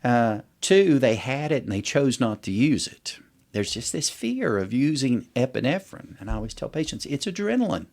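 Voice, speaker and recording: A man's voice: medium pitch, sing-song, moderate pace, a little mellow. A middle-aged man speaking as an allergist guest on a podcast, explaining things conversationally with illustrative examples.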